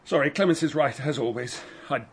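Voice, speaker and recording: An actor playing a flustered, emotional middle-aged husband and grieving son in a radio drama, with a stammering, apologetic manner. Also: male voice, medium pitch, a little fast, husky. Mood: distraught.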